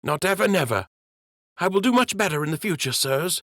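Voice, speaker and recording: A man's voice: sits high, lively intonation, fairly quick, sharp tone, dry recording, studio quality, pitched above the performer's own voice, full character voice. A man voicing a young male rabbit prince who is eager and contrite, speaking in an earnest, pleading way in an audiobook.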